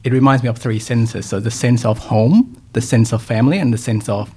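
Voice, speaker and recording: A young man from Thailand, a podcast guest talking reflectively about his upbringing in a relaxed conversation. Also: medium pitch, brisk, husky. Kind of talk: casual interview conversation.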